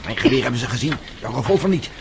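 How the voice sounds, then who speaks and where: An actor playing a stubborn, wry adventurer in trouble in a radio drama. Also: male voice, medium pitch, lively intonation, very fast, vocal fry. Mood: tense, resigned. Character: defiant, gritty adventurer hero held by a gang. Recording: very noisy old radio drama recording.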